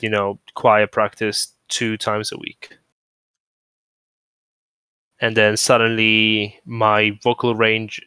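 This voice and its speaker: A man chatting on a stream in a bright, clear voice. He rambles and restarts his sentences. The sound is close and dry, with no room in it. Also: lowish voice, dry recording. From moved to the UK from abroad. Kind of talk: casual chat.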